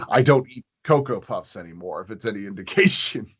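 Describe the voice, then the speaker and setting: A man chatting casually as an interview guest, explaining his work in a halting, rambling way. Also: lowish voice, slightly rough. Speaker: sound designer, podcast guest. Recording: dull, lo-fi audio.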